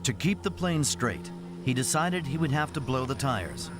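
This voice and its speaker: Male documentary narrator over loud music. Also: medium pitch, animated, moderate pace. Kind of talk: scripted dramatic narration. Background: loud background music.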